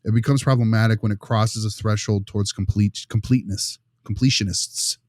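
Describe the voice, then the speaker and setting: A man with a fairly low voice argues his view in a loose, conversational discussion. He is emphatic and animated, often checking in with a quick "right?", and the sound is close and dry. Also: no room sound. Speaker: panelist discussing a behavioral case study. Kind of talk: casual opinionated discussion.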